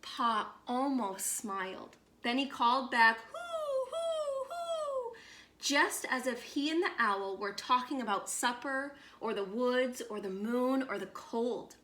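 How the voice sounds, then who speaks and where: A woman reads a picture book aloud to children in a slightly high voice. Her delivery is slow, expressive and measured, with deliberate pauses. The room adds a noticeable echo. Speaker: read-aloud storyteller.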